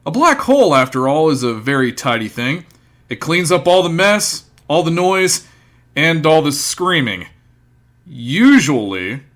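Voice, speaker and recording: An actor playing a wry, droll narrator of a comic sci-fi audio drama, telling it theatrically. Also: male voice, a little high, very animated, measured pace, a bit bright. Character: wry, droll omniscient male storyteller.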